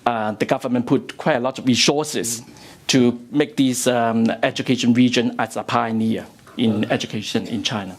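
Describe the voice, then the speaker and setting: A mid-pitched man from Hong Kong, a native Cantonese speaker, interprets into English in a lecture room. His phrasing is halting and full of fillers. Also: steady tone. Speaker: interpreter and academic.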